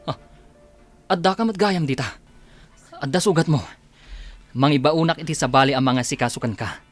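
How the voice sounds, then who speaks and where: A man's voice: fairly high pitch, normal speed, soft background music, lightly acted. A man playing a kind, composed doctor in an Ilocano radio drama, speaking reassuringly. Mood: urgent. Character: kind, composed male village hospital doctor. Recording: background noise, ambient sound.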